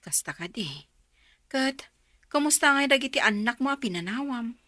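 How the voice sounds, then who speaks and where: A woman's voice: sits high, animated, moderate pace, sharp tone, no echo, clean studio sound. A woman with an Ilocano Filipino accent acting a frank, inquisitive employer in a radio drama, in a conversational manner. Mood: frank, curious. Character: Eva, frank questioning woman giving Cora laundry work.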